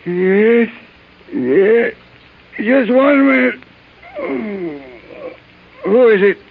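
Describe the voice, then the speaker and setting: An actor playing a shady, wheedling bait peddler who turns cowardly when cornered, whiny and evasive, in a 1950s radio drama. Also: male voice, high voice, drawn-out words, slight background noise, full character voice. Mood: wary. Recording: tinny, narrow old radio recording.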